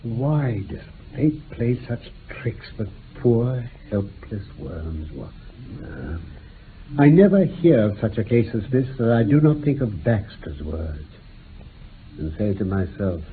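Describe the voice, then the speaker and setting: Solemn detective man musing slowly, old radio drama, phone-like sound w faint hiss. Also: fairly low pitch, very animated. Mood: melancholy musing. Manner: solemn, reflective. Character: pensive, philosophical gentleman detective musing on fate.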